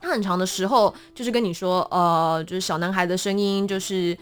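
A woman's voice: lowish voice, fairly quick, clean tone, faint music behind. A woman with a Taiwanese accent chatting casually and expressively as an interview guest. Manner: animated, expressive storytelling.

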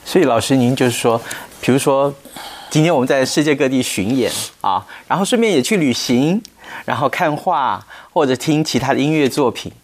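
A man with a Taiwanese Mandarin accent hosting a radio interview, putting questions politely and deferentially in measured chunks. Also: mid-range voice, expressive. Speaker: radio programme host, interviewer. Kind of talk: conversational interviewing.

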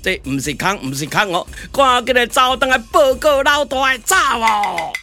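A man's voice: extremely high pitch, animated, normal speed, music in the background. A man with a Taiwanese accent voicing a comic, chatty patrol guard in a puppet radio drama. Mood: alarmed. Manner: colloquial, clownish chatter. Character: chatty, comic male camp patrol sentry.

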